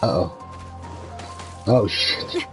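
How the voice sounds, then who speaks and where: A man's voice: on the low side, huge pitch swings, very slow, a bit bright, soft background music. A man giving casual, off-the-cuff live commentary as he streams a video game.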